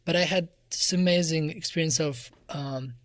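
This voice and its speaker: A soft-voiced man from Afghanistan with an Afghan accent, giving reflective answers as an interview guest. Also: fairly high pitch, normal speed, no echo, clean studio sound. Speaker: interview guest, musician and music teacher.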